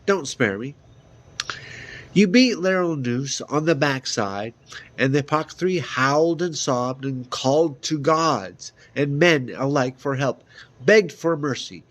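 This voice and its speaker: A man reading a fantasy novel aloud as an amateur, halting at times, with small stumbles and repeated words. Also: fairly high pitch, lively intonation, a bit bright. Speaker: amateur reader.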